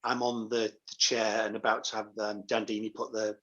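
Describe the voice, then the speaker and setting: A man's voice: fairly low pitch, level tone, moderate pace, a bit bright, dull audio. A man speaking as an interview guest, telling anecdotes in casual chat. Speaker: guest, pantomime dame and director.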